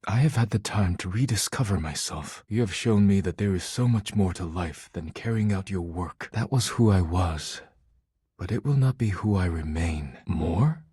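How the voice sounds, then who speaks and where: An actor playing a formal, proud and earnest man in a video game, speaking in measured, precise phrases. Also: low voice, animated, mellow, husky, no echo, studio quality, full character voice. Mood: solemn, grateful. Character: formal, proud, earnest man devoted to his work and art. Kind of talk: video game character voice acting.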